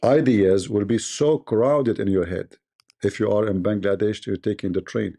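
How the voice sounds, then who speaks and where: A man with a dark, mellow voice hosting a call-in debate stream. He talks pushily in broken English, repeating his questions over and over. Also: fairly low pitch, normal speed, creaky. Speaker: debate livestream host.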